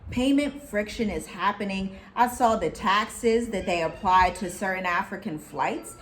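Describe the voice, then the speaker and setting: An American woman co-hosting a casual chat, talking in a lively, preachy way with scripture references. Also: mid-range voice, a little slow, a little hiss or hum. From United States. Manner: animated, preachy, quotes people in put-on voices. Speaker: co-host.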